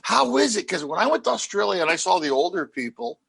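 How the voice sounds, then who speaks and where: High, gravelly-voiced Canadian man hosting. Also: very animated, quick pace, a bit bright. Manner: eager questioner who repeats his points. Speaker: interview host. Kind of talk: casual interview chat.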